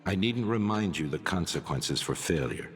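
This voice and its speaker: An actor playing a stern, threatening agency boss in a video game, formal and commanding. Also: male voice, low-pitched, animated, normal speed, very noisy. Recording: long reverb under loud music.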